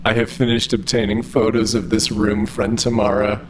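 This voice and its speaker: A man's voice: on the low side, flat delivery, moderate pace, background noise, lightly acted. A man playing an overly friendly, earnest robot who speaks in formal, literal, unfailingly polite phrases in an audio drama. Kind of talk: scripted audio drama acting.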